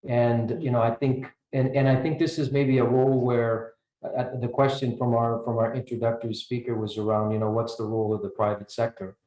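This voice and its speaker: A man's voice: medium pitch, level tone, fairly quick, mellow. An American man speaking as a panelist in a discussion, with frequent restarts and repeated words as he thinks. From United States. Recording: roomy echo.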